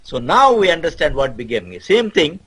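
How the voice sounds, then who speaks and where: A man speaking as a guest scholar in a debate, explaining his case in a halting but insistent way. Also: a little high, big pitch swings, a bit bright, breathy edge.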